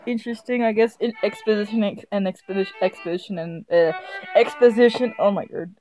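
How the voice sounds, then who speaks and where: A woman's voice: mid-range voice, brisk, warm tone, soft background music, poor audio. A woman streaming a visual novel, reading it aloud casually, often stumbling over the words in a giggly way. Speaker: game streamer.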